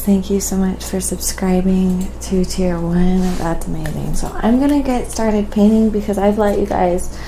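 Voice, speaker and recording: Slow, creaky-voiced woman livestreaming. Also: mid-range voice, mellow, a little hiss or hum. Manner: unhurried, chatty, thinking aloud. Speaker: live stream host and painter. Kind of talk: casual ASMR painting livestream chat.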